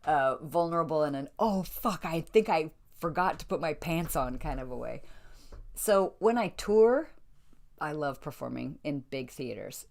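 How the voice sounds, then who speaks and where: A woman with an American accent chatting casually to an online audience, telling stories in an animated way and acting out the remarks she quotes. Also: low-pitched, a little slow, vocal fry. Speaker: belly dancer telling stories of her career.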